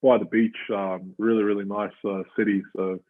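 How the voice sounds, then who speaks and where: A thirty-year-old Australian man, a rugby player, talking as an interview guest in a halting way with plenty of fillers. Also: on the low side, level tone. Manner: halting, filler-heavy storytelling. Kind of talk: casual interview chat. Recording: tinny phone-like line.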